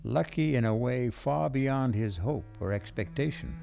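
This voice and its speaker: A man narrating a radio drama as its host, in a measured, suspenseful scripted style. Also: medium pitch, expressive, creaky. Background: music.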